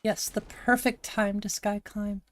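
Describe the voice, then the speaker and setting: A woman from Montana with a gravelly voice, chatting casually to her live-stream audience while she plays a game, thinking aloud and answering chat. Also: mid-range voice, little pitch movement, unhurried. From Montana, USA. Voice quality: gravelly, slightly raspy.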